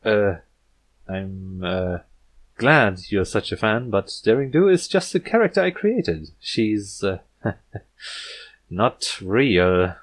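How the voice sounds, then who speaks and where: A man's voice: on the low side, expressive. A man voicing a guarded, distracted female pegasus author in a cartoon story, with an offhand manner. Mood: uneasy. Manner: offhand, halting when cornered.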